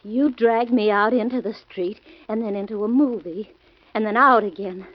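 An actress playing a terrified wife in an old-time radio drama, plaintive and earnest, with emphatic repetition. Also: female voice, medium pitch, normal speed, dry recording, lightly acted. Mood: anxious recounting. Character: Helen, terrified wife recounting a nightmare. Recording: dull old radio recording, faint music.

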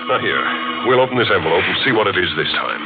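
A rational, insistent doctor in an old radio play, firm and reassuring. His voice is low and swings widely in pitch. It comes through a tinny, narrow broadcast sound with music beneath. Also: very animated, quick pace. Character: steady, rational male doctor. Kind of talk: 1940s radio acting.

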